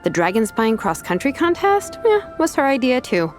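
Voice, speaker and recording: Chill teasing young vice captain for a game, highish voice, lots of melody. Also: female voice, sing-song, normal speed. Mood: amused, explaining. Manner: casual, teasing, easygoing. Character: laid-back, wry young female vice captain. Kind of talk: video game voice acting. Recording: background music under dialogue.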